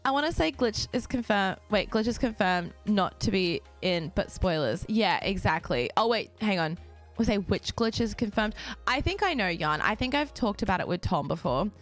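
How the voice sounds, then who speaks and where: A young woman streaming a game and chatting casually with her viewers, bubbly and quick to laugh, her voice a little raspy from just waking up. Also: mid-range voice, very animated, faint music behind. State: slightly raspy, just woken up. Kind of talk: casual chat with a live stream audience.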